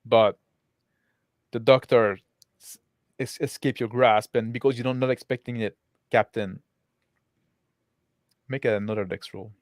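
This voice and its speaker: Halting male game master, dry close sound. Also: mid-range voice, steady tone, no room sound. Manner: halting, improvised narration. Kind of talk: narrating a tabletop roleplaying game.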